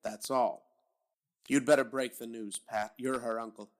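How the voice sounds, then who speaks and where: Man as a stern police captain, grave measured low delivery, old-time radio play. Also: fairly even intonation, lightly acted.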